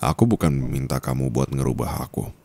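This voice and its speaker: A young man speaking an ASMR roleplay monologue as a disappointed boyfriend, low and intimate, scolding and at times rising to anger. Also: a little fast, gravelly, dark tone, slight background noise.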